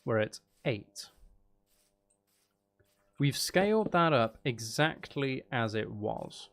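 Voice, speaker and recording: A man's voice: medium pitch, lively intonation, slow pace, fairly bright tone. A man streaming casually, thinking aloud and questioning himself as he works through a building task and chats with viewers. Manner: musing, self-questioning, talking half to himself. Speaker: live streamer. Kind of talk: casual gaming livestream chat, thinking aloud while building.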